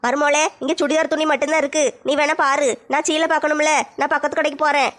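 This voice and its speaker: A woman voicing a plain-spoken older mother-in-law in a family skit, with a lower, heavier voice than her usual one. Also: level tone, quick pace, a bit bright. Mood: matter-of-fact.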